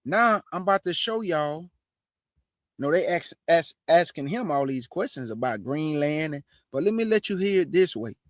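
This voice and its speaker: A man's voice: a little high, no echo, studio quality. A man hosting his show, giving casual religious commentary in a preachy way and calling his listeners family. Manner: preachy, addresses audience as family. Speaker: host.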